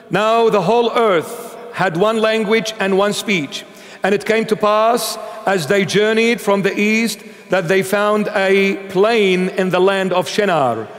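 A middle-aged man from Iraq, a bishop giving an emphatic sermon with Bible reading. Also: high-pitched. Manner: emphatic, gesturing, animated preaching. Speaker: bishop preacher. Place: large church sanctuary, seated at altar. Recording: long church reverb.

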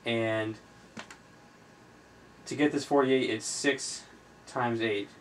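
Even-toned man tutoring over background noise. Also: medium pitch. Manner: halting, thinking aloud while writing. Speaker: chemistry tutor, video host. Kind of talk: informal explanatory tutorial. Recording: noisy amateur recording with room ambience.

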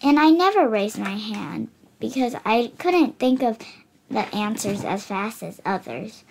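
A woman's voice: fairly high pitch, animated, unhurried, creaky, darkish tone, slight background noise. A girl of about eight reading a picture book aloud, carefully and expressively, with small stumbles. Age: child, about 8. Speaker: child reader of picture books. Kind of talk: storybook read-aloud.

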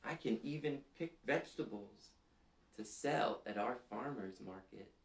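Male storytime reader, 30s, unhurried and gentle, slightly creaky voice, recorded in a roomy space. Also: on the low side, animated. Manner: gentle, deliberate, addressing young children. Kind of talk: children's picture-book read-aloud with questions to listeners. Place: small indoor living room, seated. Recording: roomy echo.

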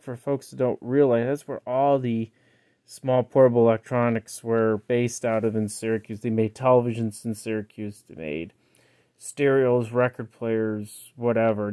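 Halting man in a casual gadget walkthrough. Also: mid-range voice, clear, resonant tone, no echo, clean studio sound. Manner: halting, rambling, trails off mid-sentence. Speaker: collector showing a yard-sale cassette player. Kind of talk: casual unscripted product walkthrough. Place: indoors, close over a tabletop. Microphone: handheld phone he is filming with.

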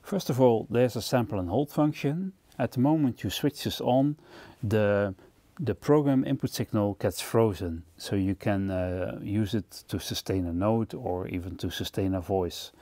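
A man with a fairly low, mellow voice gives an instructional walkthrough of music equipment. His delivery is measured and explanatory. The sound is very dry and close to the microphone. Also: no echo. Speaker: presenter of music gear demo videos. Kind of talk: instructional product overview narration.

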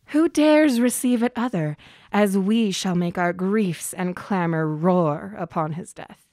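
A woman's voice: medium pitch, unhurried, a little mellow, no echo, clean studio sound, natural voice. An actress playing a ruthless, ambitious noblewoman, goading and commanding in Shakespearean verse for a radio drama. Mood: confident. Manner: scornful, commanding verse delivery. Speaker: actor.

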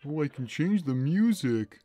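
A man voicing a big, gentle, timid cartoon cat who speaks simply and hesitantly while playing a game on a livestream. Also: fairly high pitch, expressive, unhurried, no echo. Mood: sheepish. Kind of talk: in-character gaming livestream chat. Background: game music.

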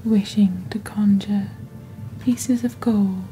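A woman playing a calm, mysterious witch presenting a shopping channel in soft, breathy, near-whispered ASMR, with slow and deliberate phrasing, close to the microphone. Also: medium pitch, soft background music, close to an everyday voice, rough recording. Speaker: host of an ASMR roleplay channel. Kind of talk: soft-spoken ASMR scripted product presentation. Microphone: close desk microphone.

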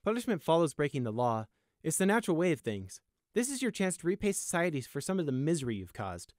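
A man's voice: on the high side, big pitch swings, fast, no echo, studio quality, voice pushed up. A man voicing a loyal pony royal guard who sounds earnest, assured and lecturing, in a storybook reading. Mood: righteous. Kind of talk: audiobook character acting.

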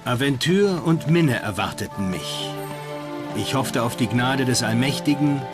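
A man's voice: mid-range voice, animated, unhurried, lightly acted. An actor playing a young, landless medieval knight, devout and ambitious, telling his story in solemn, formal language for a documentary. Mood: devout, hopeful. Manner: solemn, formal, old-fashioned phrasing. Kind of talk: first-person dramatized documentary narration. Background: loud music.

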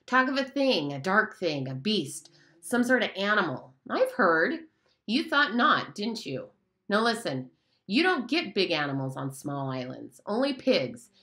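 A swaggering boy who bosses everyone and sneers at the little ones, as a woman reading the story puts him on. His bright voice shoots up in pitch as he blusters. He sounds contemptuous and sure of himself. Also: sing-song, a little slow, exaggerated voice. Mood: scornful. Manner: scornful, blustering. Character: bossy boastful boy hunter leader.

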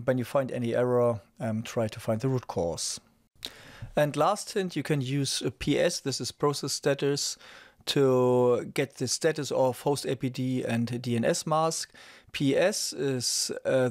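Man, middle-aged, dry close sound, casual tutorial host, halting step-by-step delivery. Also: medium pitch, darkish tone, dry recording. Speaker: host of a hobby tech tutorial series.

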